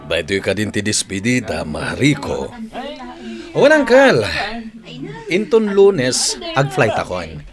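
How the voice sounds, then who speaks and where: A man's voice: fairly low pitch, big pitch swings, takes it slowly, pauses often, music in the background. A man acting a hearty, confident family man in an Ilocano radio drama.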